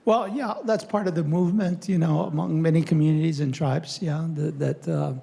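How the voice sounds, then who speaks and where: An older man lecturing an audience, his voice gravelly and fried at the edges. The tone is mellow and dark, and he stops often to search for words, filling the gaps with fillers and restarts. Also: a little high, fairly even intonation. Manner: halting, many fillers and restarts. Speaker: lecturer on Native studies. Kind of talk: public talk with audience Q&A.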